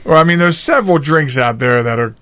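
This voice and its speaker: A man's voice: on the high side, lively intonation, fairly quick, fairly bright tone, dry recording. A man with a Philadelphia-area accent hosting a podcast in casual, teasing, jokey chat. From Delaware County, Pennsylvania, United States. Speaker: podcast host.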